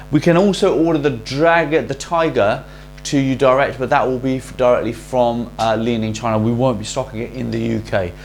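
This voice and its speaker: A man hosting a casual product review in a chatty, salesy way with quick asides, his voice a little worn from recording many videos. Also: mid-range voice. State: losing his voice. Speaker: racket reviewer and shop host. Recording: faint mains hum.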